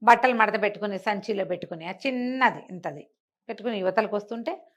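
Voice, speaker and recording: A woman's voice: mid-range voice, quick pace. A woman storyteller telling a bedtime folk tale conversationally, repeating and explaining small details as she goes.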